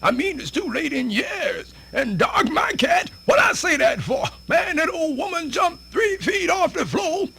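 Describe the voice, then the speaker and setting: An actor playing a spirited, folksy elderly man with a Southern US accent, rambling and stammering through a radio theatre monologue in a raspy voice. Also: sing-song, measured pace, hardly any pauses, bright, forceful tone, exaggerated voice. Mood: playful, excited. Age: older. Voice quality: raspy, creaky, high-pitched.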